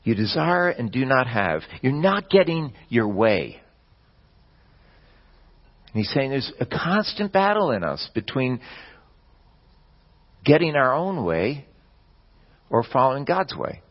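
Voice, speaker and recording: Man preaching a measured sermon. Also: medium pitch, very animated, dull audio. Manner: measured teaching delivery with occasional slips and restarts.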